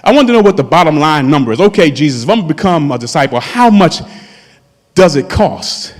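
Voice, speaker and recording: A man's voice: a little high, sing-song, brisk. A man in his fifties preaching a sermon as pastor, calling out to his congregation with fervor. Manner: impassioned preaching, calls out to the congregation. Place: church sanctuary. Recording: long hall reverb in a church.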